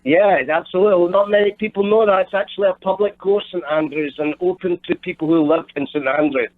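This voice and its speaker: A man's voice: sits high, steady tone, quick pace, dry recording. A Scottish man calling in to a radio show, explaining a charity golf event and repeating words as he searches for them. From Scotland. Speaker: charity tournament director, phone guest. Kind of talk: radio phone interview. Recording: tinny phone line.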